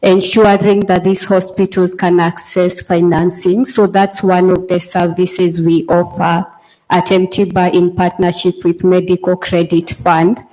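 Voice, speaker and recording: A Kenyan woman speaking as a panelist in an orderly, measured way. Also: lowish voice, flat delivery, dark tone, poor audio. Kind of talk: panel talk. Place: conference hall.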